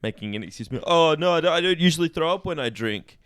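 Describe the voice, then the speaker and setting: A man's voice: fairly high pitch, animated, fast, fairly bright tone, clean tone. A man co-hosting a comedy podcast, chatting casually and agreeably in loose slang. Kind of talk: casual chat.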